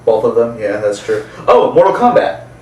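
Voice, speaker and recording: A man's voice: mid-range voice, very animated, normal speed, a little mellow. A man talking casually and enthusiastically about movies, often chuckling as he speaks, recorded roomy and distant. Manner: enthusiastic, chuckling as they talk. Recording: roomy, distant mic, faint background noise.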